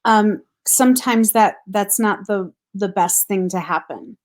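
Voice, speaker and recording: A woman's voice: mid-range voice, unhurried. Earnest woman reflecting on caregiving. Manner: thoughtful, earnest, laughs softly. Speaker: grief counselor guest. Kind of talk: reflective casual conversation.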